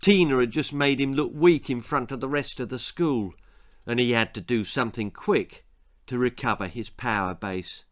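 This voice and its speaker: A man reading a children's story aloud as a dramatic, expressive narrator. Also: medium pitch, normal speed. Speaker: author reading his own story.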